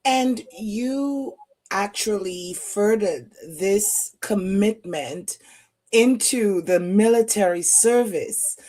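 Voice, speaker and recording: A woman hosting an interview over a video call, asking questions slowly with many ums and uhs. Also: mid-range voice, warm tone. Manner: slow, halting with many fillers. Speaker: host.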